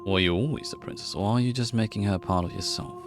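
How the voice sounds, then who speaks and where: A man's voice: lowish voice, fast talker, over music. A man who is a native German speaker, reading game text and choices aloud on a livestream. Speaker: game streamer.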